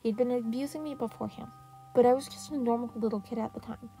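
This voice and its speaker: A woman's voice: fairly high pitch, steady tone, fast talker, creaky, warm tone, faint music behind, low-quality recording. A woman voicing a clever, guarded teenage boy in an audio story, explaining in a casual, determined, matter-of-fact way.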